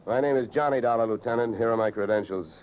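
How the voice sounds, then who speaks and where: An actor playing a wry, hard-boiled insurance investigator in old radio drama, dry and wisecracking. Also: male voice, medium pitch, quick pace, a little hiss or hum, natural voice. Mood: dry, questioning. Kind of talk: 1940s-50s radio detective narration. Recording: muffled vintage radio recording.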